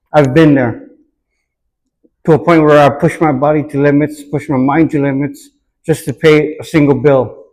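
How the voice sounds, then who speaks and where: A man from Hawaii, answering interview questions in an earnest, reflective and deliberate manner. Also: a little high, darkish tone, low-quality recording. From Hawaii, United States.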